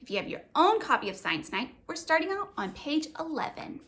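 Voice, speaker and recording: Woman reading a kids chapter book, fairly high voice, teacherly, explains stuff simply. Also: very animated, brisk, fairly bright tone. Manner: clear teacherly explaining, addressing children. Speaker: children's author and read-aloud host. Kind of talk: children's story narration with simple explanations.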